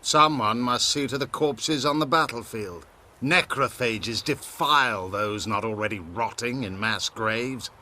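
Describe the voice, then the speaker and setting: A haughty, bright-voiced fire-cult priest. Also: male voice, medium pitch, unhurried, slight background noise. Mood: pompous, preachy. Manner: haughty, preachy, grandiose. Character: pompous, self-righteous male priest of a fire cult. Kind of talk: video game character acting.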